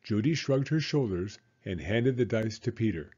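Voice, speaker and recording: A man reading a children's storybook as an expressive, dramatic narrator. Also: on the low side, normal speed, husky, muffled recording.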